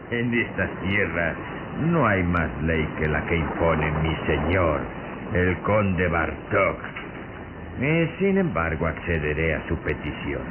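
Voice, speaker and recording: Radio horror servant: ominous and formal, deep voice, dragging deliberate delivery, cryptic menace, noisy vintage sound. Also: male voice, lively intonation. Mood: menacing, formal. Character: sinister, cryptic servant of a vampire count. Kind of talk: radio drama acting. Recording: muffled, noisy old radio drama recording.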